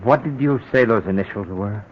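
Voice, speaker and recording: An actor playing a flustered guilty husband. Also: male voice, fairly low pitch, a little fast, slight background noise, lightly acted. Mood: nervous. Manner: flustered, stammering. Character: nervous guilty husband hiding a crime. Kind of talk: 1940s radio acting. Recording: muffled old radio broadcast.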